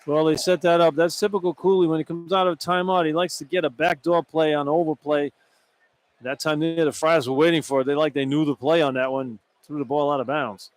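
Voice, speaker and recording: A man's voice: on the high side, fairly even intonation, fairly quick. An American man giving informal, conversational basketball analysis as a radio color commentator, sometimes repeating himself. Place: broadcast position inside a large indoor arena. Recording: live arena broadcast with background noise. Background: arena ambience and noise.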